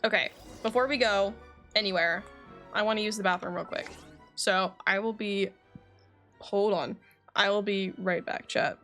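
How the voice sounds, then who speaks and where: A woman's voice: mid-range voice, normal speed, long pauses, sharp tone, faint music behind. A woman chatting casually and informally on a live game stream, thinking aloud as she plays.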